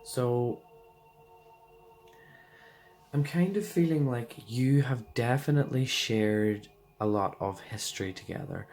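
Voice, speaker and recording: Hoarse low-voiced man reading tarot. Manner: rambling and loose, full of fillers like "um" and "like". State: hoarse, losing his voice. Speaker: tarot card reader. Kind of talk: casual pick-a-card tarot reading.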